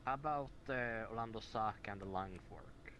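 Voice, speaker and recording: A man playing a measured, protective doctor with strange powers in an improvised online roleplay, speaking formally. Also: fairly low pitch, dull audio, natural voice. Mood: calm, assured. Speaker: roleplay player. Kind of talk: improvised in-character roleplay chat. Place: virtual reality voice chat. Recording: noisy online voice chat.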